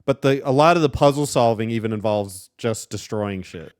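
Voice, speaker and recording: A man co-hosting a gaming podcast, chatting casually in a laid-back, halting way. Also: medium pitch, darkish tone, smooth, no echo, studio quality. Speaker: podcast co-host. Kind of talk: casual chat.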